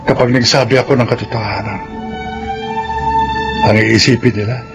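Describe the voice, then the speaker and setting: A man plays a wronged elder son, an ex-convict who speaks with earnest, weary resignation in a Filipino radio drama. His voice sits at a middle pitch, with music clearly playing underneath. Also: fairly even intonation, normal speed, lightly acted. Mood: bitter, resigned.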